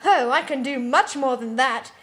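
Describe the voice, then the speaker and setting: A woman's voice: high voice, moderate pace, fairly bright tone, rough recording. Woman acting a stilted, suave teenage vampire boy. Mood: theatrical confiding. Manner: stilted, over-polite, theatrical. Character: suave, formal, flowery teenage male vampire heartthrob.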